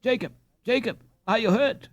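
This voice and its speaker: Man as a high-pitched, urgent boy skater. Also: normal speed. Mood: alarmed. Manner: exclamatory, urgent calling. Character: spirited, concerned young boy skaters.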